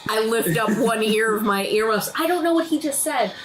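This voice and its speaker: A woman's voice: fairly high pitch, lively intonation, fairly quick, a bit bright, reverberant, soft background music. A woman playing a quirky, agreeable girl in a tabletop roleplaying game, speaking hesitantly. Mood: easygoing.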